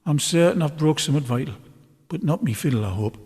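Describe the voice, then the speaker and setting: Man performing a cunning blind street fiddler, raspy, rough dialect, raised pitch, storybook character, long reverb. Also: expressive, fast, a little mellow. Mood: groaning, pitiful. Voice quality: raspy, snarling. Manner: rough dialect, wheedling and blustering. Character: gruff, cunning old blind fiddler, quick to curse and cackle.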